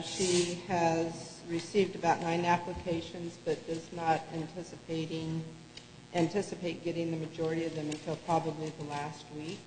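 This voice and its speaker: A woman city clerk giving a report at a council meeting, steady but full of "uh" fillers. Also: low voice. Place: council chamber. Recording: echoey hall, faint hum.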